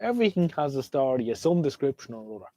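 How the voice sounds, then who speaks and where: A man's voice: mid-range voice, very animated, fast talker, warm tone, no echo. Sniffly Irish man chatting live. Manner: chatty, rambling, talks to viewers. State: slight head cold, sniffly. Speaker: livestream host. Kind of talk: casual livestream chat. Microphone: desk microphone.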